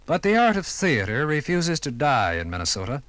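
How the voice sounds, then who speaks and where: Bright-voiced American 1950s radio narrator, male. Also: on the high side, very animated, no room sound. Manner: formal, measured, dramatic phrasing.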